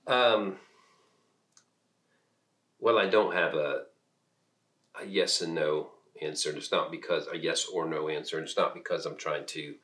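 A middle-aged man with a deep voice talks through ideas in conversation. He is reflective and often halts to find the right word, as a minister would in an informal discussion. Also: steady tone, pushed and bright. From Peru. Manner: reflective, halting, searching for words.

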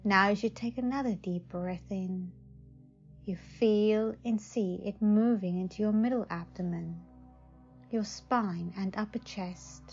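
A woman guides a meditation at a very slow pace, soothing and full of long pauses. Her pitch is average. The recording is slightly dull, with faint music underneath. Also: darkish tone, breathy edge. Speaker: psychic advisor, meditation guide.

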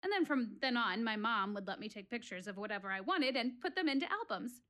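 A high, expressive voice of an actress playing a reflective woman alone on stage. She confides in her audience as she ponders life, wistful and deliberate in a theatrical audio play. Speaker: actress in a one-woman show. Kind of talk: theatrical dramatic monologue addressed to an audience.

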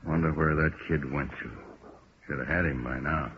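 Gruff, very deep radio police detective. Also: male voice, fairly even intonation, moderate pace. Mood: gruff, grumbling. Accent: American. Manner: blunt, hard-edged. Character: gruff, blunt male city police detective. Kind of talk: 1950s radio detective drama acting. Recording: muffled, noisy vintage radio recording.

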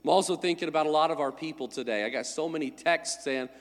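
A male pastor with a somewhat high voice for a man, still congested from a cold, preaches in a friendly, conversational way. His words ring out with a long reverb through the church sanctuary. He speaks into a pulpit microphone. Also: quick pace. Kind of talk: sermon with announcements.

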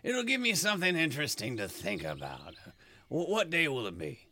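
An actor playing a dying man in hospice in an audio play, wry and resigned, with a halting delivery. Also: on the high side, wildly swooping pitch, pushed and bright, exaggerated voice. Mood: wry, playful.

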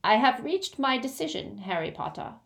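A stern storybook goblin , acted out by a woman, pitched well up and swinging widely. He is proud and quick to anger, speaking formally and firmly, as one who makes demands. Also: big pitch swings, gravelly, fairly bright tone, exaggerated voice. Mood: solemn. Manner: firm, indignant, formal speech.

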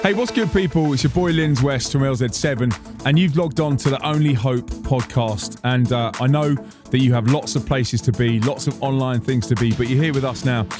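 A man's voice: medium pitch, fairly quick, music in the background. A man hosting a podcast, chatting casually and joking with his guest, with lots of fillers. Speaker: podcast host.

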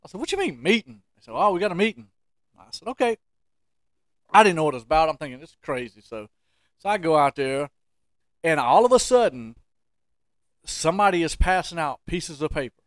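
Animated storytelling guy, higher-pitched voice. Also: a little fast, long pauses, no room sound. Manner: colloquial, emphatic, repeats phrases for effect. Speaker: podcast co-host. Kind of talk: casual comic storytelling.

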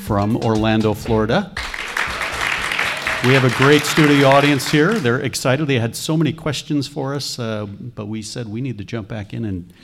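A man's voice: mid-range voice, normal speed, music in the background, a crowd in the background. A man hosting a live podcast, welcoming guests in an upbeat, announcer-like way. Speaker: podcast host. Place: conference hall with a live audience.

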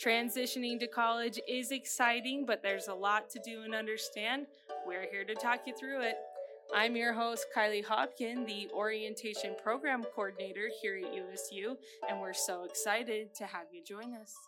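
A woman's voice: a little high, fairly even intonation, normal speed, loud music behind the voice. A woman hosting a student podcast, speaking in an enthusiastic, encouraging way. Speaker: podcast host.

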